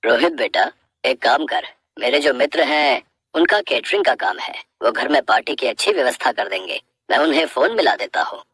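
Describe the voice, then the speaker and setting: Woman playing a kindly, gravelly older father. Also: a bass voice, sing-song, normal speed, a bit bright, dry recording, clean studio sound, full character voice. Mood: helpful. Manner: mild, reasoning.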